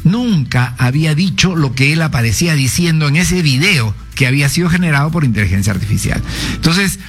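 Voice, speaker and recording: An older man, a former education minister speaking as a radio guest, explaining in a measured, professorial way and citing sources. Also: fairly high pitch, expressive, a bit bright, soft background music.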